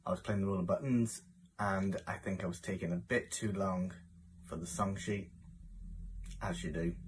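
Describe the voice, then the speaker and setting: A man's voice: low-pitched, slight background noise, low-quality recording. A man who performs in pantomime, telling a casual anecdote.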